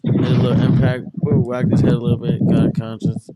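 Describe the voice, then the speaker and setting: A man's voice: mid-range voice, monotone, a little fast, very noisy. A man chipping in casually with brief, slangy remarks. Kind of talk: casual chat.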